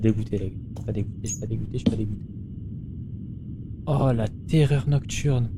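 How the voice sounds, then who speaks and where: A man's voice: on the low side, fairly quick, noisy background. A man doing casual gameplay commentary in an informal, slangy way, muttering to himself and chatting to his viewers. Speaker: gaming streamer.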